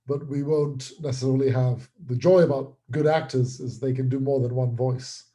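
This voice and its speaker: A man's voice: medium pitch, fairly even intonation, moderate pace, warm tone. A man talking casually as an interview guest and creator, explaining things with repeated, restarted words.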